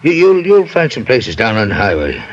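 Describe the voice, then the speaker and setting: An actor playing an older country service station keeper in a radio drama, evasive and unwelcoming, with a hesitant, stammering folksy manner. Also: male voice, lowish voice, huge pitch swings, normal speed. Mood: nervous, evasive. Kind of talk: old-time radio drama acting. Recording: muffled, noisy vintage radio recording.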